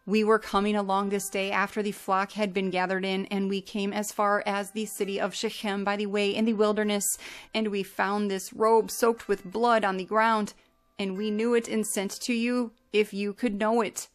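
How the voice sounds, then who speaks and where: A woman's voice: mid-range voice, flat delivery, moderate pace, natural voice. A woman reading a band of guilty brothers. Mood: guarded.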